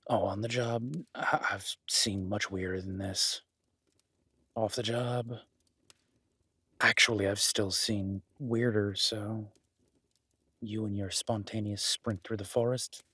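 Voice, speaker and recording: Man acting as a husky, low-voiced reclusive cabin rescuer. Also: fairly even intonation, pushed and bright, dry recording, heavily acted. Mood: wry, relaxed. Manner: halting, dry teasing, reassuring. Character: gruff-kind solitary cabin-dwelling former EMT, awkward, teasing, protective. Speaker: voice actor in an audio roleplay. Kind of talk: intimate audio drama roleplay, naturalistic.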